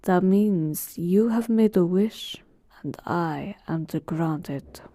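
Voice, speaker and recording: A woman's voice: lowish voice, takes it slowly, warm tone, no echo, studio quality. A woman voicing an ancient, all-powerful genie who talks casually and teasingly to a master in a roleplay monologue. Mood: grand, teasing. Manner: casual, teasing, conversational asides. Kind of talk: in-character roleplay monologue to the listener.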